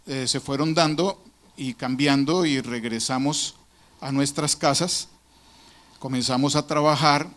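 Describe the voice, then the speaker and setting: Guy sharing his church testimony, earnest and thoughtful, plain middle voice. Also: medium pitch. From Colombia. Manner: earnest, reflective storytelling. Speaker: church member giving a testimony.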